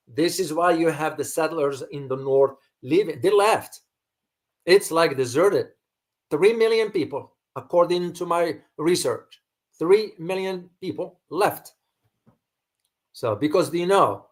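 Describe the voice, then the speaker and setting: A man hosting unscripted geopolitical commentary for an online audience, halting and repetitive as he searches for words, with emphatic rhetorical questions. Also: fairly high pitch. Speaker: host, geopolitical analyst.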